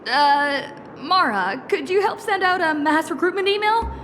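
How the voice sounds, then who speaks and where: A woman's voice: very high voice, expressive, unhurried, fairly bright tone, a little hiss or hum, exaggerated voice. An actress playing a young female boss who makes hesitant requests in a game cutscene.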